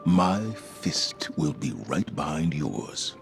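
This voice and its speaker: Deep-voiced, grave military commander. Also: male voice, expressive, gravelly, faint music behind, heavily acted. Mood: resolute, grave. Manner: grave, measured, authoritative. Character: stoic, authoritative older military commander, grave and resolute. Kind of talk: video game voice acting.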